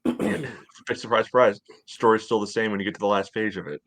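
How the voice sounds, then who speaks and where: Brief: male co-host, thirties, deep voice, dry close sound, casual match breakdown. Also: a little fast, fairly bright tone, no echo. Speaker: podcast co-host. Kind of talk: casual sports analysis. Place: home room, on a video call.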